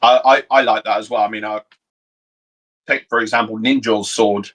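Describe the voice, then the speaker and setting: A young man co-hosting a casual chat, explaining his picks in a measured, thoughtful way. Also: fairly low pitch, fairly even intonation, fairly bright tone. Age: young adult. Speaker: co-host.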